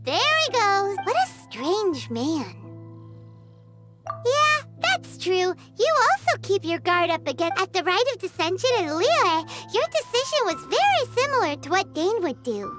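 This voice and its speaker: An extremely high, childlike fairy companion for a video game. The delivery is cutesy and curious, swinging widely in pitch at an unhurried pace over prominent music. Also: female voice, big pitch swings, full character voice. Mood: puzzled, musing. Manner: cutesy, exaggerated, talkative. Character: tiny chatty childlike fairy girl companion, excitable and curious. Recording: music behind the voice.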